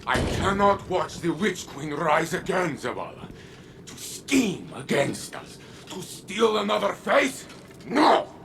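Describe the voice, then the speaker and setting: A man's voice: sits high, very animated, slow, vocal fry, fairly bright tone, a little hiss or hum, full character voice. A man playing a grave, loyal warrior in a video game, earnest, emphatic and theatrical. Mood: distressed, protesting. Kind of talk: dramatic video game acting.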